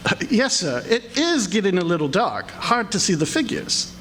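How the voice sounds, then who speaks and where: Meek clerk, acted by a man: kindly, hesitant, deferential, extremely high pitch, animated, live radio drama with clear noise. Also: moderate pace, exaggerated voice. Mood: meek, cheerful. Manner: timid, hesitant, eager to please. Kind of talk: live stage radio theatre acting. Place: stage before a live audience. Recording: live stage recording, clear background noise.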